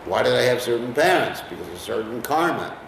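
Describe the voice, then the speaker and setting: A man's voice: medium pitch, big pitch swings, brisk, vocal fry, a bit bright, distant mic. An American man leads a scripture class in an easy, conversational way, often stopping to quiz his listeners. He sounds set back from the microphone in a roomy, echoing space. A faint hum of ambience sits behind him. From United States. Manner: conversational, asks the class questions. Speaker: lecturer on scripture.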